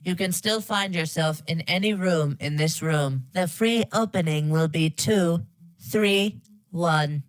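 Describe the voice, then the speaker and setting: A woman voicing a polite computer test announcer in a game parody, with formal, deadpan scripted delivery. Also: fairly low pitch, takes it slowly, dry recording, poor audio.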